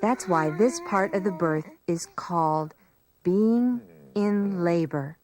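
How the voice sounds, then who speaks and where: A woman's voice: fairly low pitch, slow pace, a little mellow. A woman narrating a scripted educational story for young children in a simple, explanatory way.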